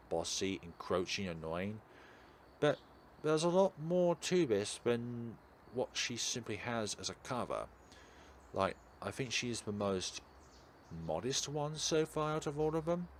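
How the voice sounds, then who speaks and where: A man streaming a visual novel, narrating and commenting in an expressive, emphatic way. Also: mid-range voice, normal speed, a little hiss or hum. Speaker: game streamer. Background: game music.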